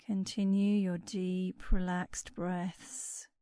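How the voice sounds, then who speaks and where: Female meditation guide: very slow, soothing delivery, soft breathy phrase endings, close and dry sound. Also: on the low side, little pitch movement, no echo. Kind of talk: guided sleep meditation narration.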